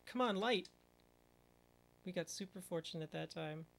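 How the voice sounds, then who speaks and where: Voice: mid-range voice, unhurried, gravelly, fairly bright tone. A speedrunner giving casual commentary over a run, muttering at the game and using mild minced oaths.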